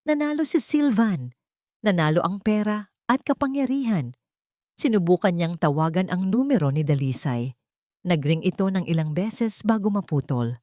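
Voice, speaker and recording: A woman with a Filipino accent reading a dramatic romance story in a measured, solemn way. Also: lowish voice, expressive, mellow, no echo, studio quality. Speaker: story narrator.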